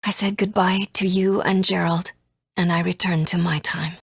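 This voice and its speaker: A woman voicing a gentle, earnest mother and resistance fighter in a video game, soft and breathy, speaking in a measured, tender way. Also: on the low side, fairly even intonation, dry recording, clean studio sound. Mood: earnest, solemn. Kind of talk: English video game voice acting.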